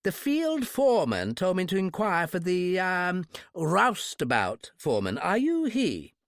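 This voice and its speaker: A man's voice: sits high, huge pitch swings, measured pace, no room sound, clean studio sound, pitched above the performer's own voice, exaggerated voice. A man voicing a fussy, over-polite English private secretary with an exaggerated upper-class accent, in an audiobook. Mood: airy, hesitant. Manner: pompous, airy, over-polite. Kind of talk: audiobook character voice.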